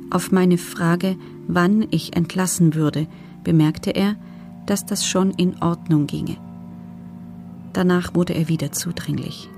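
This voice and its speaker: Creaky-voiced, restrained female prisoner. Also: on the low side. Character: female prisoner recounting her ordeal, guarded, restrained. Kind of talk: documentary radio play, reading written testimony.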